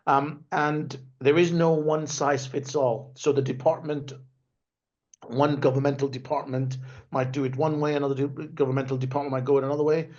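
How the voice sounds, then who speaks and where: A man's voice: fairly high pitch, little pitch movement, normal speed, a little mellow, muffled recording. A man raised in Denmark, speaking as a guest on a webinar in a conversational way, often tagging his points with "right?" and restarting his phrases. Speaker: guest speaker, tech executive. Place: remote video call. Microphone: video call microphone.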